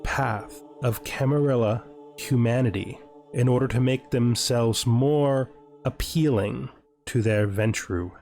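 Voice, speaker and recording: A man performs a solemn chronicler of vampire lore in a game, narrating slowly and with measured drama. The pitch is average for a man, and faint music runs underneath. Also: darkish tone. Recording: music playing behind the voice.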